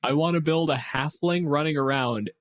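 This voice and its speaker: A man's voice: on the high side, moderate pace, no echo, clean studio sound. A man chatting casually as a podcast co-host, explaining monster lore in a wry way with the odd swear word.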